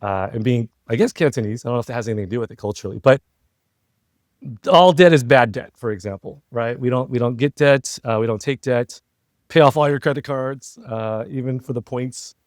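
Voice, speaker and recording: Middle aged american guy in a casual interview, thinking out loud, keeps going "right?", really dry close sound. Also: mid-range voice, a little fast, no echo. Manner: reflective, talkative, often seeking agreement with tag questions. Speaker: interview guest, entrepreneur and former CEO.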